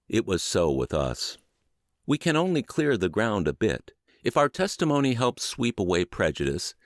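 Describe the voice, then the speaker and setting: A middle-aged man reading aloud as scripted audiobook narration, in an earnest, measured and expressive manner. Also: on the low side, dry recording, clean studio sound.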